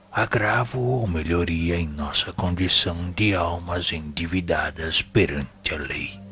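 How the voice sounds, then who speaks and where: A man with a Brazilian accent playing a venerable, wise and patient spirit mentor in an audio novel, speaking in a formal, didactic, measured way. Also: sits low, fairly bright tone. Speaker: audio drama actor. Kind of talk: solemn scripted spiritist teaching in an audio novel.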